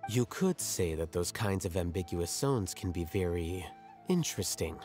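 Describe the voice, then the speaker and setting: An actor playing a cool, aloof young intellectual in a video game, detached and precise. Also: male voice, low voice, animated, normal speed, a little mellow, faint music behind. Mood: musing. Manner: detached, matter-of-fact, precise. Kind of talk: video game character acting.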